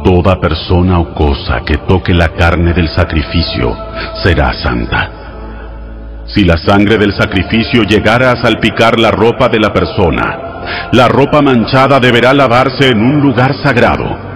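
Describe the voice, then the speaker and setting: Deep-voiced man reading scripture solemnly. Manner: measured, formal, instructive delivery. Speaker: audio Bible narrator. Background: background music.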